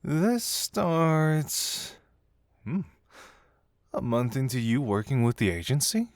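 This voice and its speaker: A man's voice: mid-range voice, big pitch swings, takes it slowly, no echo, studio quality. Man playing a playful detective with swooping intonation. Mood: teasing, intrigued. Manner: teasing, theatrical, musing hums and soft chuckles. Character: playful, teasing young male detective agency colleague. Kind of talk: audio roleplay acting addressed to the listener.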